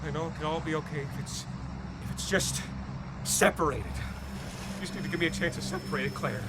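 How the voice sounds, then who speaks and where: A man acting a guilt-ridden, delusional father and space pilot in a horror audio drama, halting and stammering as he rambles. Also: fairly high pitch, heavy background noise, close to an everyday voice. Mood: pleading, muttering.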